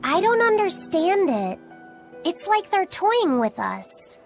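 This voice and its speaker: A woman voicing an earnest, curious young boy in game dialogue, speaking softly and a little hesitantly. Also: very high-pitched, very animated, slow pace, vocal fry, soft background music, heavily acted. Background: game score.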